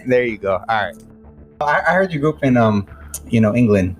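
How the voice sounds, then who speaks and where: A man's voice: mid-range voice, lively intonation, brisk, faint music behind. A man hosting a casual podcast interview, talking in a hesitant way full of fillers. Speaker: podcast host.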